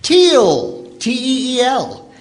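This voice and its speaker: A man's voice: very high-pitched, wildly swooping pitch, very slow. A man announcing and narrating in scripted old-time radio style. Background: music.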